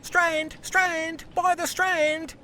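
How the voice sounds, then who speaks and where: High-pitched, cheeky newsboy played by an actor. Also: level tone, pushed and bright, slight background noise, full character voice. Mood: hawking. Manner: colloquial, brisk street slang. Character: cheeky, eager young Victorian street newsboy informant. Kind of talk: video game cutscene acting.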